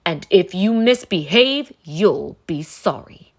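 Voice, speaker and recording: Nasty substitute teacher for a storybook, played by a woman: stern, witchy, clipped commands, slow and deliberate. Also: fairly low pitch, animated, a little mellow, full character voice. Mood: stern, snappish. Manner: hissing, snapping commands.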